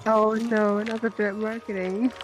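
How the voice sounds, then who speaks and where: A woman's voice: mid-range voice, flat delivery, moderate pace, music in the background. A woman giving casual, playful commentary as a guest on a game stream.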